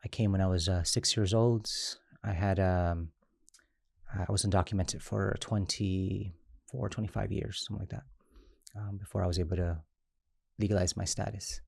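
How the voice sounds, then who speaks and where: Gravelly low-voiced man, halting speech. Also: fairly even intonation, darkish tone, dry recording, clean studio sound. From Chiapas, Mexico. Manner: halting, many restarts and "right?" tags. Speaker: immigrant rights organizer. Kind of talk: interview conversation.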